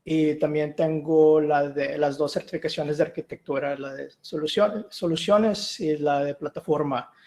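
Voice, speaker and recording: A middle-aged man from Mexico, giving a tech talk on a video call, relaxed and full of "eh" fillers. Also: on the high side, normal speed, few pauses. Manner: relaxed, with many "eh" and "ah" fillers and restarts. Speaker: guest speaker, solution architect. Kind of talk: online tech meetup presentation.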